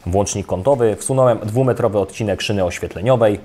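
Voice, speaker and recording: A young adult man giving a calm, scripted step-by-step voice-over for an electrical installation video. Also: on the low side, fast talker. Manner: calm, even, step-by-step instructional delivery. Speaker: electrician presenting a how-to video. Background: soft guitar music.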